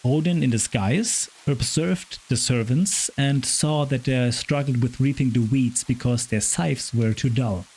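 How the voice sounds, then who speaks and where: A man with a raspy voice hosting a podcast, retelling myths in a chatty way, stumbling over hard names. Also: medium pitch, a little slow, hardly any pauses, darkish tone, a little hiss or hum, poor audio. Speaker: podcast host. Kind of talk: casual storytelling of Norse myth.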